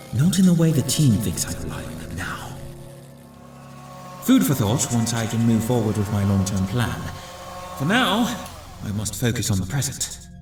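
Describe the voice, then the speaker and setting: A man's voice: medium pitch, reverberant, close to an everyday voice. A man playing a proud, scheming villain scientist with pompous, theatrical speech in a cartoon comic dub. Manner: pompous, elaborate articulate speech.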